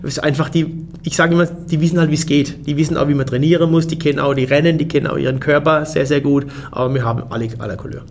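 A man's voice: a little high, fairly even intonation. A German man in his forties, a race organizer, answering interview questions in a casual way and often restarting his sentences. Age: 40s. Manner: fast, restarts and repeats words.

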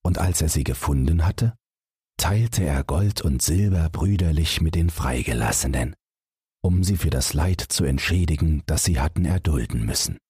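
A man reading a bedtime fairy tale as a scripted audiobook, with measured, dramatic storytelling. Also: a bass voice, expressive, dark tone, slightly rough, no room sound, studio quality. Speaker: audiobook narrator.

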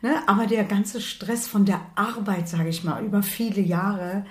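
A woman in her sixties, born in Berlin, recounts her life in a calm, matter-of-fact way. Her voice sits in a middle range. The room gives it a noticeable echo. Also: mid-range voice, moderate pace. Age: 60s. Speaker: guest, author and retired nurse. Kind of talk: personal life story in conversation. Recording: roomy echo.

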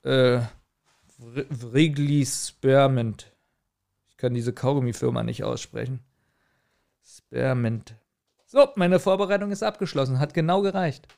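A man's voice: medium pitch, measured pace, gravelly, long pauses, darkish tone. A man talking casually as a podcast co-host, with a dry, self-deprecating manner.

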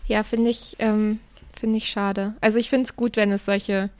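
A woman co-hosting a podcast, sharing her opinion thoughtfully and pausing often to search for words. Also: mid-range voice, level tone. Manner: thoughtful, hesitant with fillers. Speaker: podcast co-host. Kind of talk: reflective podcast chat. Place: living in London.

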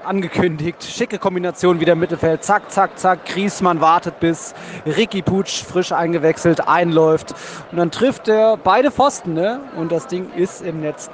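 A man hosting a podcast, giving live football commentary from the stands. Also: high voice. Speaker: podcast host. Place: outdoors in a football stadium. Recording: loud stadium crowd and noise. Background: crowd noise and applause.

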